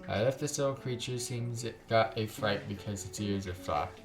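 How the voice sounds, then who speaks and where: A man's voice: on the low side, normal speed, over music. A man hosting a gaming video, reading a visual novel aloud with casual reactions.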